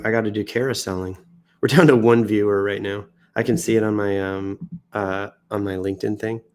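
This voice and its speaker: A man's voice: on the low side, a little mellow. Theatrical American man riffing through the news. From United States. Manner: theatrical, swoops into exaggerated emphasis and self-mocking asides. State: sick and tiring, coughing now and then. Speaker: livestream host reading news posts. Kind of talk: off-the-cuff livestream reading with jokey asides. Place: indoors.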